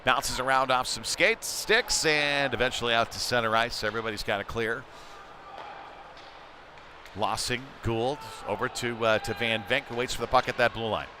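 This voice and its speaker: A man with a mid-range voice commentates a live ice hockey game. He calls the play in short, clipped fragments. Clear noise from the rink runs behind his voice throughout. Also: medium pitch, normal speed. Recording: noisy rink ambience behind voice.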